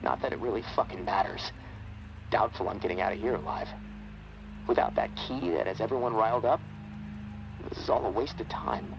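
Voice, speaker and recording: An actor playing a guilt-haunted, weary American soldier in a video game, halting and foul-mouthed as he confides. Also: lowish voice, gravelly, noisy background. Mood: weary, resigned. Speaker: survivor confiding in a friend over radio. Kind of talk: dramatic video game acting.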